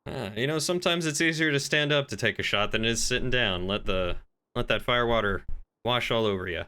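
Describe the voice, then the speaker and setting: A man's voice: mid-range voice, brisk, sharp tone, clear, resonant tone, close to an everyday voice. A man playing an affable small-town tavern keeper in improvised game roleplay, with polite, folksy small talk. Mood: genial, obliging.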